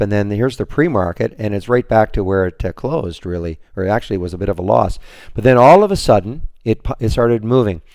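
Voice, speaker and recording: A man with a lowish, hoarse voice recaps his trades for a trading room, wandering and restarting as he talks. The sound is dry and close, like a desktop computer mic in a home office. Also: mellow, dry recording. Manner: rambling, many restarts and fillers. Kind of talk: screen-share trading recap.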